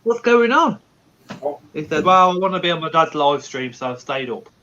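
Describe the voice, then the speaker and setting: An enthusiastic man hosts a casual live stream, his voice high and swinging widely in pitch. The sound is dull and lo-fi, with clear noise behind him. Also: sing-song, moderate pace, pushed and bright. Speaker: host. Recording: dull lo-fi, noisy.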